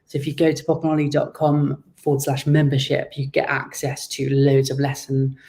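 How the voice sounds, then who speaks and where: A man speaks with noticeable creak at a middling pitch. He is an author answering interview questions, explaining his work in a measured, conversational way. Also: completely flat delivery, darkish tone. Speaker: children's author and guest.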